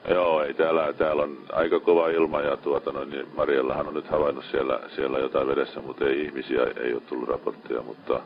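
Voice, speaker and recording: Male ship officer, extremely low voice, coordinating over a dull marine radio link. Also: flat delivery, few pauses. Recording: muffled, band-limited marine radio transmission.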